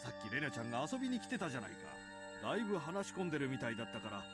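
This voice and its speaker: Visual novel father, middle-aged male: jolly and teasing, quick, swinging intonation acted by a man. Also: medium pitch, big pitch swings, loud music behind the voice, natural voice. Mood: playfully teasing. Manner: upbeat, teasing, casual. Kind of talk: Japanese visual novel voice acting.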